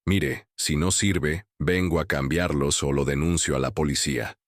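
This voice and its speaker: A man's voice: very deep voice, normal speed, dry recording, studio quality. A man voicing an irritable, temperamental wealthy doctor who talks curtly and impatiently in a spoken horror story. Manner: curt and impatient.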